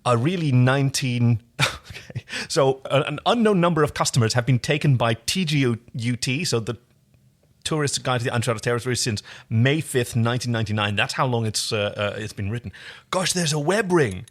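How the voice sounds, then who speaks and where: A man's voice: medium pitch, expressive, brisk. A man co-hosting a podcast in casual chat, introducing and reading fan fiction. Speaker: podcast co-host.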